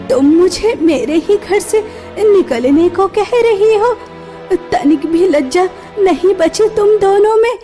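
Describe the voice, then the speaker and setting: A woman's voice: very high-pitched, dark tone, exaggerated voice. A woman playing an elderly, protective mother, earnest and emphatic, in a melodramatic cartoon story. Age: older.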